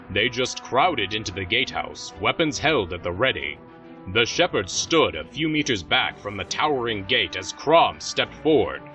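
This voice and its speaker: A man's voice: lowish voice, bright, forceful tone. A man reading scripted fantasy story narration for an audio drama. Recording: slightly dull, music underneath.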